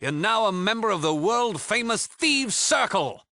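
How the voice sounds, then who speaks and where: A man's voice: high-pitched, lively intonation, unhurried, pushed and bright, heavily acted. A man with a British accent playing a cocky, mock-polite thief gang leader in a video game, theatrical and sarcastic. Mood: breezy, charming.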